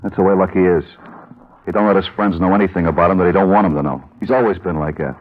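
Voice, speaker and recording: A man's voice: low voice, quick pace. An American actor playing a weary, blunt working-class family man in a 1950s radio drama, plain-spoken and colloquial. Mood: grim. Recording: muffled, lo-fi old radio recording.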